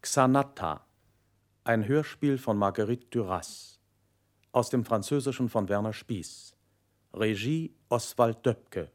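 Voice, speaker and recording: A man's voice: mid-range voice, slow. A man announcing the title and credits of a radio play from a script.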